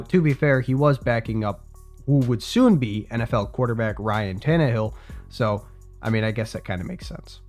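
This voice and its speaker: A man's voice: medium pitch, darkish tone. A young man with a congested voice narrating a scripted sports video, with casual asides to his viewers. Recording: faint music behind voice.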